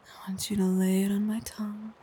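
A woman speaking in an intimate whispered audio roleplay, mostly soft whispers with low murmured hums, in a coaxing, praising tone. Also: level tone, fairly quick, a little hiss or hum.

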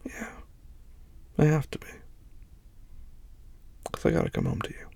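A man speaking softly and reassuringly to a partner, often dropping to a tender whisper in an intimate one-sided roleplay. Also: measured pace, no echo. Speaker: boyfriend speaking to an unseen listener.

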